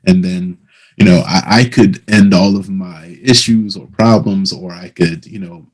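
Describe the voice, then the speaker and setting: A man hosting an interview, talking conversationally and thinking his way through a point in a reflective, halting way. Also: low-pitched, fairly even intonation, a little mellow, slightly rough. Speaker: interviewer, host.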